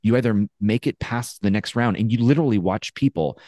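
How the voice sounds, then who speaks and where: A man in his forties co-hosting a podcast over a video call, telling a story in a casual, animated way and acting out his own thoughts. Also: lowish voice, brisk, dry recording, studio quality. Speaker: podcast co-host. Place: home studio with brick wall. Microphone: headphones and studio mic on a video call.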